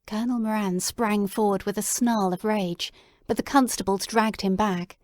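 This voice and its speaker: A woman narrating a classic story in a measured, descriptive way. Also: medium pitch, steady tone, a little mellow, dry recording, studio quality. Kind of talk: audiobook dramatic reading.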